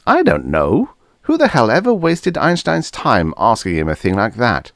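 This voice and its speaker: A man's voice: mid-range voice, huge pitch swings, normal speed, gravelly, fairly bright tone, dry recording, clean studio sound, pitched above the performer's own voice, full character voice. A man voicing a desperate, cynical young widower in a science fiction audiobook, insistent and pleading. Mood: defensive.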